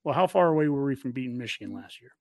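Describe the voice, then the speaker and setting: A man's voice: mid-range voice, animated, very fast, darkish tone, dry recording, clean studio sound. An American man hosting a casual college football talk show, speaking emphatically and repeating points for stress. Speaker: podcast host.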